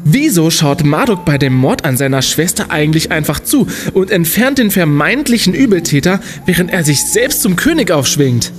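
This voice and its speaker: A man's voice: fairly high pitch, big pitch swings, normal speed. A man playing a declamatory young student sleuth over music. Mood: suspicious, musing. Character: clever young male student sleuth, confident and explaining. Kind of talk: German comedic audio drama acting.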